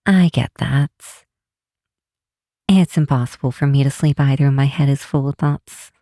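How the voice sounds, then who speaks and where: A woman's voice: very deep voice, moderate pace, warm tone, no echo, clean studio sound. A woman voicing an affectionate, bookish vampire researcher in an ASMR roleplay, talking tenderly and teasingly with small hesitations. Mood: gentle, wistful. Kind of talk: ASMR roleplay, one side of a late-night phone call.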